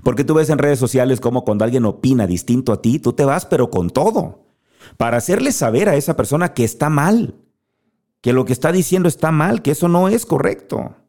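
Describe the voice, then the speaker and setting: A man's voice: medium pitch, expressive, fairly quick, a little mellow. A Mexican man hosting a podcast, giving an earnest motivational monologue straight to the listener. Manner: earnest, speaks directly to the listener. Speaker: podcast host.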